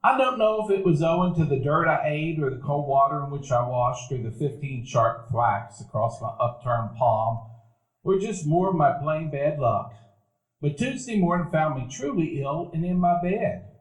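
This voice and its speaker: A middle-aged man narrating a memory with wry, reflective storytelling in a live stage radio play. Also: fairly high pitch. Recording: echoey live theater room.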